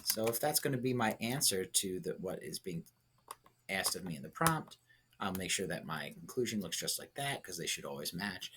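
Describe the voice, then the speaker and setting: A man's voice: sits low, lively intonation, moderate pace, fairly bright tone, a little hiss or hum. A man teaching a lesson, thinking aloud and coaching step by step with short check-in questions. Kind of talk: instructional lesson, thinking aloud through a writing example.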